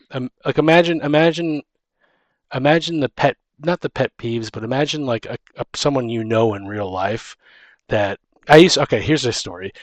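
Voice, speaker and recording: A man's voice: medium pitch, dry recording. A man co-hosting a casual discussion, talking in a rambling way and often restarting his sentences halfway through. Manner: rambling, halting, restarts sentences mid-thought. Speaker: podcast co-host.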